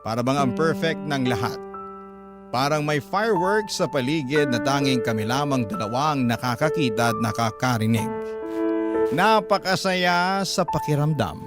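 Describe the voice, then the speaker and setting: A man's voice: mid-range voice, lively intonation, moderate pace. A man with a Filipino accent narrating a first-person story in a dramatic radio storytelling style. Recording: loud music behind the voice.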